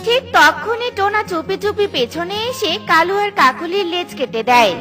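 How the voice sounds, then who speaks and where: A woman's voice: very high voice, lively intonation, moderate pace, over music. A woman reading scripted narration for a children's cartoon story.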